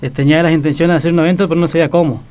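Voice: mid-range voice, fairly even intonation, racing, a little mellow. Club member on the radio, clean voice, kinda hesitant, lots of "eh". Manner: hesitant, with fillers. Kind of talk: casual radio interview.

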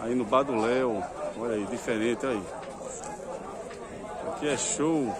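A man's voice: medium pitch, a little slow, very noisy. A man with a Northeastern Brazilian accent hosting an informal vlog at a livestock fair, talking in short exclamatory catchphrases. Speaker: vlog host. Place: outdoor animal fair yard. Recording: outdoor fair with loud crowd chatter. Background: loud crowd.

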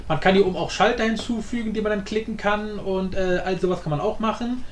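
A man with a notably high voice talks through a tutorial in an informal, think-aloud way. He sounds like he is in a reverberant room, with some light noise behind him. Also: fast talker. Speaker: tutorial host. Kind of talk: casual screen-recorded tutorial commentary. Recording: roomy echo with background noise.

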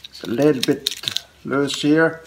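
A man in his forties with a cold, chatting casually in a low-key, halting way as he hosts a hobby unboxing vlog. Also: steady tone. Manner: halting, low-key, short clipped phrases. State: has a cold. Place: small room at home, indoors, on a couch. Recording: clear background noise, handling clicks.

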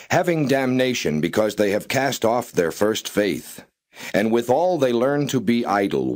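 A man with a fairly low voice reads scripture aloud as a narrator. His phrasing is formal and solemn, yet the pitch moves widely within each measured line. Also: darkish tone. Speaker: Bible narrator. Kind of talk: non-dramatized scripture reading.

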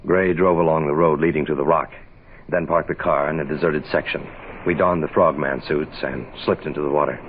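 A world-weary private eye in a vintage radio drama. His voice is extremely low and his narration is clipped noir. The recording is narrow and faintly noisy. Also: fairly even intonation, brisk. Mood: tense, hushed. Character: hard-boiled, world-weary male private investigator. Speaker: actor narrating as detective. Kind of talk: 1960s radio detective drama acting.

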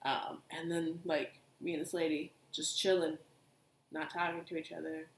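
A young woman, co-hosting a podcast and telling stories in an animated, casual way. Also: low voice, moderate pace, slight background noise. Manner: animated storytelling, many asides. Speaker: podcast co-host. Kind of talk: casual chat. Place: living room couch, indoors. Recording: echoey room.

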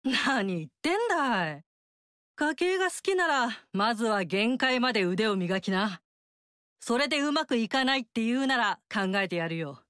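Bold teasing fire-goddess warrior lady, big-sister swagger, bouncy pitch, dry studio sound. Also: animated, normal speed, a bit bright, no echo. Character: brash motherly fire-goddess warrior woman. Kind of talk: studio game dialogue.

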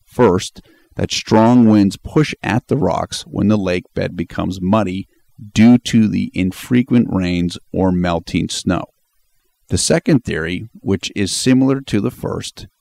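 A man reading a scripted voice-over in a formal, measured, explanatory way. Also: low-pitched, gravelly, darkish tone, no echo. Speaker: channel narrator.

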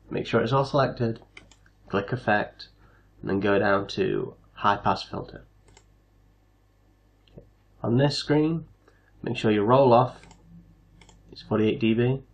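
Creaky-voiced man giving a tutorial. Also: medium pitch, highs cut off. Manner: step-by-step instructional. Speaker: tutorial host. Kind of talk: casual screen-recorded software tutorial.

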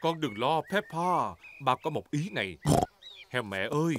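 A man's voice: fairly high pitch, big pitch swings, unhurried, fairly bright tone, slight background noise. A man playing a jolly, easygoing big daddy pig in a children's cartoon dub, hearty and fatherly. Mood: cheerful, confident. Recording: music behind the voice.

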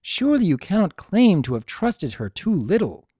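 Man acting proud younger-sister pony princess, storybook reading, formal, emphatic, mellow, very varied intonation. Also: high-pitched, big pitch swings, normal speed, slightly rough, no echo, clean studio sound, voice pushed up, full character voice. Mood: scornful, emphatic.

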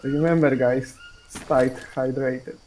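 A woman, non-native English speaker, playing a co-op game and speaking haltingly while searching for words. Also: extremely low pitch, fairly even intonation, warm tone, a little hiss or hum, low-quality recording. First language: not English. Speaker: co-op player. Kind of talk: casual gaming voice chat.

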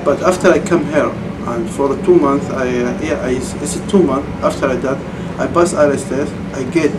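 A man in his thirties with a Saudi Arabian accent, a former student answering interview questions in halting English with frequent restarts, recorded in a computer lab. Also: medium pitch. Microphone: far camera mic. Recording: distant camera mic, air conditioning hum.

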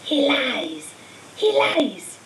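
Hissing, menacing villain, high and cold; woman reader doing the voice, slow delivery, faint hiss on the recording. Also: wildly swooping pitch, full character voice. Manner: slow, menacing, shrieks commands. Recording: echoey room, distant mic, faint hiss.

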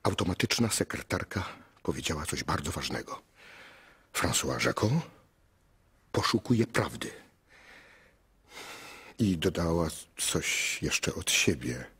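A man's voice: rumbling low, expressive, slow pace, long pauses, a bit bright, breathy edge, full character voice. An actor playing a whimsical, lovestruck man in a radio play, narrating with playful, theatrical asides. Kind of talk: radio drama acting.

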